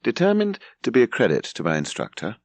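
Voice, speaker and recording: A man with an English accent reading an audiobook as expressive scripted narration. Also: mid-range voice, fast, no echo.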